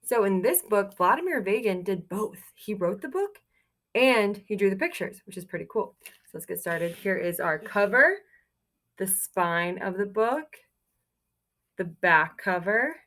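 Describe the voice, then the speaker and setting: A woman reading a picture book aloud to young children like a teacher, expressive and encouraging, pausing to put questions to her listeners. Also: mid-range voice. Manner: expressive, encouraging, exclaims softly over pictures.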